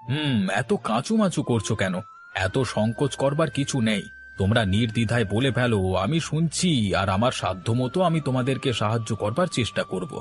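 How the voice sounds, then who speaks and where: A man's voice: mid-range voice, lively intonation, a little fast, faint music behind, close to an everyday voice. A man voicing a kind, honest shopkeeper and father in a moral-story cartoon, speaking in a welcoming, earnest way. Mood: welcoming, reassuring.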